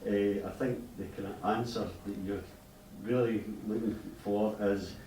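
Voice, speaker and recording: A lower-voiced man speaks earnestly from the floor, pausing to find his words. He is heard from a distance. The room echoes, with a faint hiss beneath. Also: fairly even intonation, dark tone. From Scotland. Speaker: clan member speaking from the floor. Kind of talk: unscripted remarks at a meeting.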